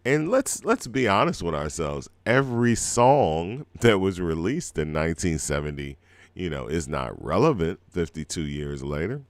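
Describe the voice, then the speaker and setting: Gravelly deep-voiced man with a cold. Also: sing-song, normal speed, no room sound. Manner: rambling, laid-back, chuckles often. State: scratchy throat from a cold. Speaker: radio show host. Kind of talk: casual live show chat.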